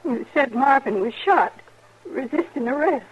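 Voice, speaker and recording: A woman playing a proud, devoted American frontier mother in 1950s radio western style, dramatic and halting. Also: high voice, expressive, breathy edge, exaggerated voice. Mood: stunned, defiant. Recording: muffled old radio recording, faint noise.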